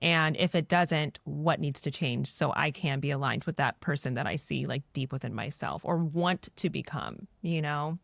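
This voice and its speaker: A woman's voice: sits low, normal speed, vocal fry, few pauses, fairly bright tone, no echo. A woman hosting a podcast, speaking earnestly and reflectively and asking guiding questions. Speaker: podcast host.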